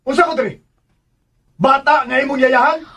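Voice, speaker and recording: Distant, high-pitched bitter young master. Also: male voice, fairly even intonation, normal speed, bright, forceful tone, exaggerated voice. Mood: curt, annoyed. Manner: curt, indignant challenging questions. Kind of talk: radio drama acting.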